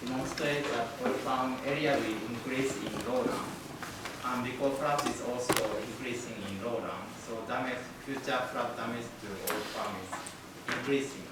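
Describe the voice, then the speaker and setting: Hesitant lecturing man in echoey room. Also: mid-range voice. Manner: halting, many fillers, non-native English. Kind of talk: academic presentation. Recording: echoey room from far off, noisy.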